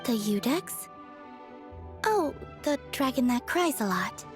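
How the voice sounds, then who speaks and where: A woman's voice: high voice, big pitch swings, takes it slowly, soft background music, full character voice. An actress playing a serene, innocent and bluntly candid young woman in a video game. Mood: curious, candid.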